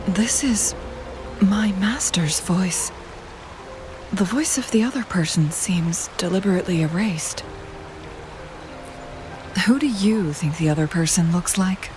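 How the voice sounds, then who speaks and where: Woman acting a slow, poised young strategist. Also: fairly low pitch, music in the background, natural voice. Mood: pensive. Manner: composed, deliberate, formal. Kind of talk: video game voice acting.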